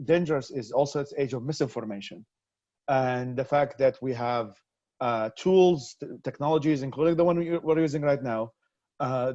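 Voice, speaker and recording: Man from Iraq, interview guest on a dull, lo-fi video call; earnest, mid-pitched, restarts sentences, dry sound. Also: warm tone, no echo. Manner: earnest, frequent restarts and repeated words. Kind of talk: conversational interview answers.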